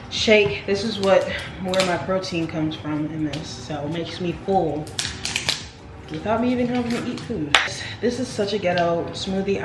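A young woman vlogging from her home kitchen, chatting playfully to viewers as she makes a smoothie. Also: on the low side. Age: young adult. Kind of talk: casual vlog chat to camera. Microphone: camera on the counter. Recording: echoey kitchen with household noise.

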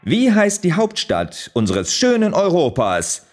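A man playing a showy, teasing game show host in an audio drama, with theatrical, drawn-out catchphrases. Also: high-pitched, huge pitch swings, heavily acted. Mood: brisk, showy. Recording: music and crowd behind the voice.

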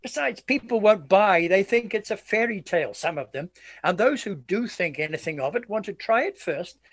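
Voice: mid-range voice, expressive, normal speed, dry recording. An actor playing a weary, haunted old sergeant major in a radio play, with guarded, ominous, old-fashioned courtly speech. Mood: grim, haunted.

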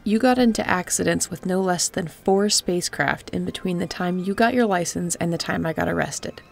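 A woman playing a guarded, wry spaceship captain in an intimate sci-fi audio roleplay, with a gravelly voice and dry, teasing, conversational delivery. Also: on the low side, moderate pace. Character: guarded, wry female spaceship pilot captain, softening toward an old friend.

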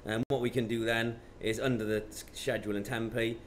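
A man, talking matter-of-factly through steps in an informal software tutorial. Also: medium pitch, little pitch movement, fairly quick. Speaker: presenter of product tutorials. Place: indoor office. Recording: clear background noise.

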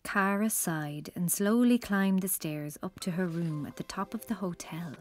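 A woman's voice: fairly low pitch, measured pace. A woman narrator reading a scripted children's story.

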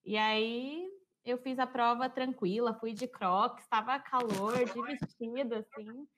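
A young woman with a Brazilian Portuguese accent, chatting on a video call. Her voice sits a little high. She talks in a relaxed, casual way, with fillers. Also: takes it slowly, poor audio. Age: young adult. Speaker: university student. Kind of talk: casual panel chat.

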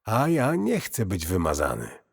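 Horror audio play role: scornful, fatalistic avenger acted by a man, raspy, animated pitch, cavernous sound. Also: measured pace, full character voice. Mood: resigned. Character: desperate, bitter, scornful man bent on revenge. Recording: cavernous long reverb.

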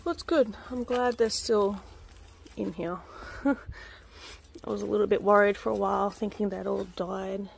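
A woman's voice: mid-range voice, normal speed, creaky, a little hiss or hum. A young woman chatting casually through a backyard gardening vlog, rambling with lots of ums, filmed on a handheld phone outdoors. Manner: relaxed, rambling, many ums, drops to a whisper up close. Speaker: vlogger. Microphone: phone held close.

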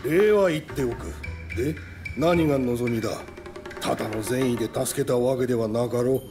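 A man's voice: medium pitch, moderate pace, over music, close to an everyday voice. A middle-aged man playing a proud, stern older doctor and father in a Japanese video game, curt and formal. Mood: gruff.

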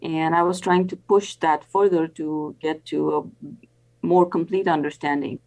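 An older woman speaks in a fairly low voice as an expert interview guest on a video call. She explains carefully and haltingly, pausing often as she builds each answer. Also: fairly even intonation, darkish tone. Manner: halting, careful, frequent pauses. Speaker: interview guest, professor and planetary scientist.

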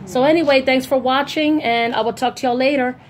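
A woman's voice: high-pitched, steady tone, a little fast, a little hiss or hum. A woman chatting casually and informally in a rambling style, as a vlogger showing off her shopping. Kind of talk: casual haul vlog chat.

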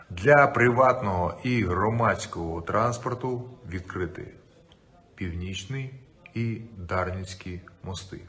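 A man's voice: fairly low pitch, fairly bright tone. A middle-aged man, a city mayor, giving a firm official video briefing to residents from his office desk into a webcam. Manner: firm, emphatic, informative. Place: indoor office with flags.

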